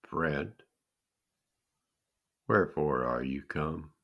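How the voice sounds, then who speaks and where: Man acting a slow, sorrowful, commanding holy teacher. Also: very deep voice, vocal fry, bright, forceful tone, pitched below the performer's own voice, heavily acted. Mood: grave foretelling. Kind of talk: dramatic scripture storytelling.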